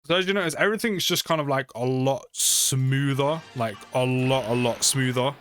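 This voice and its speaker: Chatty man with lower voice over music. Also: normal speed, a bit bright. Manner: chatty, repeats phrases for emphasis. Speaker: gaming video creator. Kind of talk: casual game update commentary. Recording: music behind the voice.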